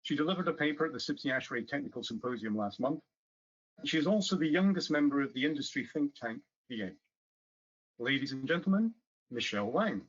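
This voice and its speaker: A man's voice: fairly high pitch, expressive, a little fast. Man presenting at an awards event. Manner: formal, announcing delivery. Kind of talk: introducing finalists. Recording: dull lo-fi audio.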